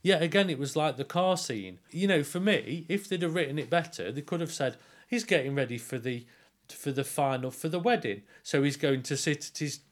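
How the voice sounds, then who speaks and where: A man's voice: fairly high pitch, animated, fairly quick. A man giving critical opinions in a casual podcast discussion, emphatic and often rephrasing himself as he goes. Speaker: theatre review podcast co-host.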